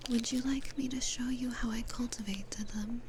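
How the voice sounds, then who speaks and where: A woman playing a gentle, patient, kindly demon florist in an ASMR roleplay, in a soft breathy whisper with a soothing, nurturing manner. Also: on the high side, steady tone, background noise, close to an everyday voice. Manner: slow, soothing, nurturing, unhurried.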